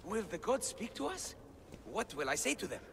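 A man's voice: sits high, very animated, normal speed, exaggerated voice. A man playing an anxious, self-doubting scholar in a video game cutscene, speaking in a fretful, plaintive and emphatic way. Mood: excited. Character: anxious, self-doubting male scholar translating ancient relics. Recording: long cavernous reverb, clear background noise.

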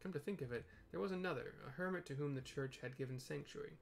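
A man's voice: a little high, fast, vocal fry. A man voicing a pompous, wordy professor from a fantasy game, with theatrical scholarly diction, over game music. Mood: pleased, pompous. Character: pompous, wordy male professor of crystal science. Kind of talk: reading game dialogue aloud.

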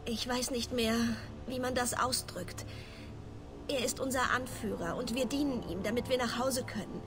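Casting: woman playing young female castaway in a sci-fi game, confused and devout, high-ish voice, halting, over music. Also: lightly acted. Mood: anxious, earnest.

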